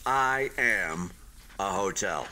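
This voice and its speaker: Radio play: a half-built hotel with feelings, eager and deluded, slow theatrical delivery, bright edge. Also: male voice, sits low, animated, a little hiss or hum, full character voice. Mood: earnest, insistent. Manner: theatrical, halting then gushing, swooping emphasis. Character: unfinished hotel building, male, wounded, proudly deluded, eager optimist.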